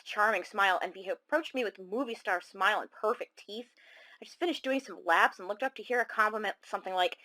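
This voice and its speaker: A woman's voice: mid-range voice, a bit bright, natural voice, rough recording. A woman playing a self-conscious, rueful caller who tells her eerie story in a confiding, slightly rambling way. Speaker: caller on a horror radio show. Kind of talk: conversational dramatic monologue.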